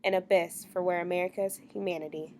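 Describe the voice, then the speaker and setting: A young woman with a slightly low voice reads an earnest introduction from a script, setting up the documentary. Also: steady tone, quick pace, darkish tone, slight background noise. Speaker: student narrator.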